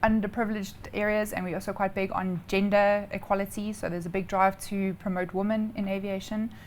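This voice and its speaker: A woman's voice: medium pitch, level tone, fairly quick, a little hiss or hum. A woman with a South African accent answering interview questions informally, explaining things step by step in a chatty way. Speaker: interview guest, air traffic controller.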